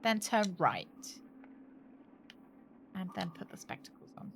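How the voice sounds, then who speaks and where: A woman doing casual live game commentary, excitable and playful, reacting aloud and swearing freely. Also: mid-range voice, slow, noisy background. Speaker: game streamer. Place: indoor room, live gaming stream.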